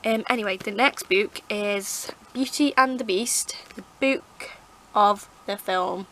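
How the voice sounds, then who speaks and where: A woman with a croaky, hoarse throat, rambling chattily as she reviews her favourite books to camera. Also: mid-range voice, slow pace, fairly bright tone, slight background noise, rough recording. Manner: rambling, chatty, lots of fillers and restarts. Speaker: book vlogger. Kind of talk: casual book review chat. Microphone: camera mic.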